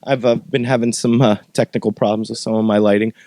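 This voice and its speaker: A man's voice: on the low side, steady tone, fast, darkish tone, no room sound, clean studio sound. A man talking informally and with profanity as he hosts a casual podcast chat. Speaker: podcast host and former metal band member.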